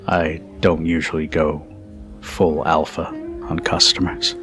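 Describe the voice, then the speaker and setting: A man playing a protective, teasing, dominant bartender in an intimate ASMR roleplay, speaking in a deliberate, commanding yet caring way. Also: bright, forceful tone, full character voice. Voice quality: low husky voice.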